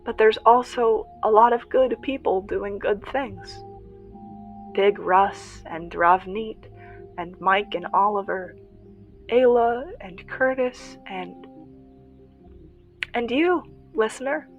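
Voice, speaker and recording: A woman playing an earnest young survivor who hosts a radio show in an audio drama, confiding reflectively in listeners with halting pauses. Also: mid-range voice, lo-fi sound, faint music behind. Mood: tearful gratitude. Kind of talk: first-person audio drama monologue, podcast-style confiding.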